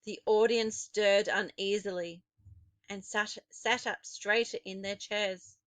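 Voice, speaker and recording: A woman narrating a children's story expressively, with dramatic, deliberate phrasing. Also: mid-range voice, steady tone, no room sound.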